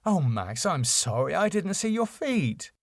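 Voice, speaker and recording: Brief: British sitcom wife, played by a man, raised pitch, pointed questioning delivery. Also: sing-song, measured pace, no room sound, clean studio sound, exaggerated voice. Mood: concerned, needling. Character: sitcom wife, apologetic then pointedly needling.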